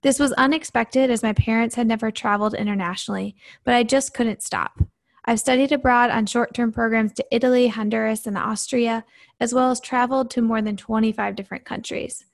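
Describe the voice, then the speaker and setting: A woman's voice: fairly high pitch, steady tone, brisk, creaky. A woman from Michigan hosting a university podcast, reading a prepared intro and then interviewing a guest in an upbeat, earnest way. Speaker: podcast host.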